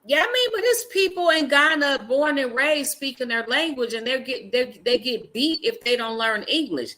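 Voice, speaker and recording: Blunt, bright-voiced woman. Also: sits high, animated, moderate pace. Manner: blunt, emphatic, talks over points. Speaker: livestream host. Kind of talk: casual livestream discussion.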